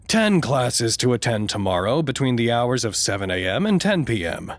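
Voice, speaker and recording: An actor playing a fussy, self-important, easily wounded doctor in an audio drama, with a pompous, theatrical delivery. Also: male voice, on the low side. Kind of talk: dramatic monologue, audio log.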